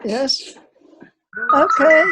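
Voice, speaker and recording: An elderly grandmother with a fairly high voice tells unscripted stories from her life to her family. The woman recounts them as anecdotes, speaking the remembered dialogue as she goes. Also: very slow, loud music behind the voice. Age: older, elderly grandmother. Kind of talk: unscripted personal storytelling to family.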